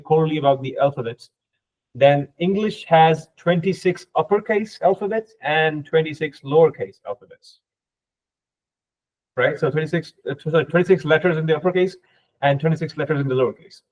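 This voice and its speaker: A man's voice: fairly high pitch, fairly quick, a little mellow, rough recording. A man whose native language is Urdu, informally explaining a technical subject as a podcast guest in a didactic way, often checking in with the listener.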